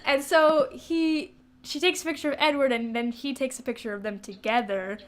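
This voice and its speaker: A woman's voice: high voice, fairly even intonation, normal speed. A woman co-hosting a podcast in casual, slangy book chat, giggly and playfully mimicking dialogue as she retells it. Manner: informal, slangy. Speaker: podcast co-host.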